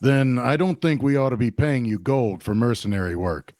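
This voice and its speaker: A man playing a big, guarded tavern barkeep, measured and plain-spoken, in a fantasy audio drama table read. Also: mid-range voice, darkish tone, dry recording, clean studio sound, lightly acted.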